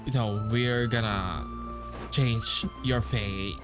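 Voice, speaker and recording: A man giving casual, informal playthrough commentary in a halting way, as a gamer talking over a video game. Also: lowish voice. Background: game music.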